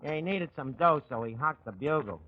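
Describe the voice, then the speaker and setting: An actor playing a slangy, wisecracking New York tavern manager in a 1940s radio comedy. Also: male voice, mid-range voice, lively intonation, fairly quick, lightly acted. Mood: chatty, wry. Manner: slangy, ungrammatical, sardonic. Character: wisecracking, malaprop-prone male manager of a tavern. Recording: muffled 1940s radio broadcast.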